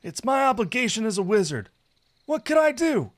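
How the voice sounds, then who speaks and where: A man's voice: very high voice, sing-song, normal speed, voice pushed up. A man voicing an affectionate, playful and pouty young male wizard in a visual novel, with a theatrically emotional delivery. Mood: pouty, indignant. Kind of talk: visual novel character reading.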